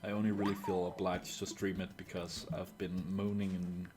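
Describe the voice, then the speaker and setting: A man streaming a game live, talking in a dry, sarcastic way with lots of halting "uh"s. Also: deep voice, flat delivery. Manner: dry, sarcastic, full of filler "uh"s. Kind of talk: casual live gameplay commentary.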